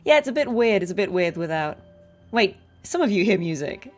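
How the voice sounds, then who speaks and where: A woman's voice: mid-range voice, sing-song, fairly quick, faint music behind. A woman game streamer chatting informally and musing aloud to her live viewers. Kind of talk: casual live stream chat.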